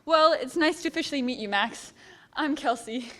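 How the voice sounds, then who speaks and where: A woman's voice: high voice, normal speed, sharp tone. A teenage actress playing a bubbly, friendly popular girl who talks in an upbeat, gushing way. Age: teens.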